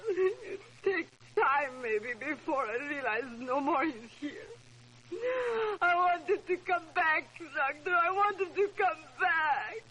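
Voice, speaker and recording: An overwrought housemaid with a foreign accent, speaking high and very slowly. Her English is broken and repetitive, heavy with emotion and secrecy. It is delivered in the theatrical manner of 1940s radio drama. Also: lively intonation, pushed and bright, heavily acted, poor audio. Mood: hysterical, grieving. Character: distraught, hysterical foreign-born housemaid, secretive and insistent. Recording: old radio drama recording.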